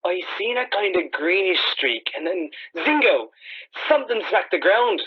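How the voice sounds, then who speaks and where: A man playing a slow, folksy, hesitant farmer giving an eyewitness account in a 1930s-style radio play. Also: sits high, very animated, breathy edge, full character voice. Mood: rambling. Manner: hesitant, rambling, rustic dialect turns of phrase. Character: slow, rambling, folksy male farmer, mildly rattled eyewitness. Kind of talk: 1930s radio drama acting. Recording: tinny telephone-like radio band.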